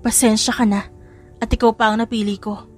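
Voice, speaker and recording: A woman's voice: fairly high pitch, little pitch movement, a little fast, natural voice. A woman voicing a determined, intrigued young female reporter with a scheming streak in an audiobook drama. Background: music.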